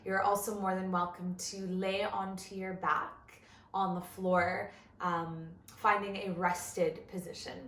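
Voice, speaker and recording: A woman leading a guided body scan meditation as an instructor, gentle and measured, with long pauses between phrases. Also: mid-range voice, level tone, roomy sound, slight background noise.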